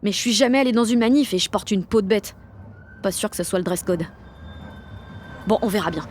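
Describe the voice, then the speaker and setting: A woman's voice: medium pitch, brisk, a little mellow, slight background noise, lightly acted. An actress playing a slangy, self-conscious sixteen-year-old girl in a French audio drama, gushing and mocking herself as she narrates. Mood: anxious, self-doubting. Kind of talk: audio drama, slangy first-person inner narration and dialogue.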